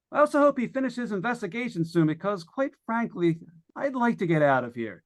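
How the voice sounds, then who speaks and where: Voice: medium pitch, fairly bright tone. An actor in an old-time radio suspense play, playing a jittery, stammering broadcaster who talks to listeners at home in a folksy way and is coming unraveled.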